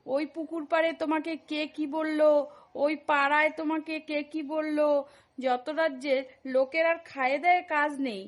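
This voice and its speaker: A woman acts out a defiant schoolgirl from a comedy cartoon. The voice is high and pert, answering back with dismissive cheek. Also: steady tone, normal speed, natural voice. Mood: defiant, annoyed.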